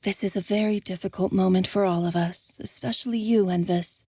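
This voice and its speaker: Gentle queen-mother type who calms others, read by a woman. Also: mid-range voice, brisk, dry recording, close to an everyday voice. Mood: soothing. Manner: soothing, gentle. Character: gentle royal mother, middle-aged woman.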